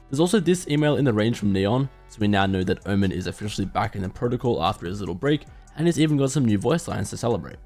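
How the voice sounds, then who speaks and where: Fast-talking male gaming commentator. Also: on the low side, darkish tone. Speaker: video game lore presenter. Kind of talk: scripted video commentary.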